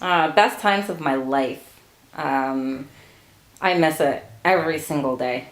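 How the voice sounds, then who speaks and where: A woman's voice: low voice, takes it slowly, echoey room. A woman in her late thirties, born in Portugal, answering family interview questions with frequent laughter. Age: late 30s. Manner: laughs often, relaxed storytelling.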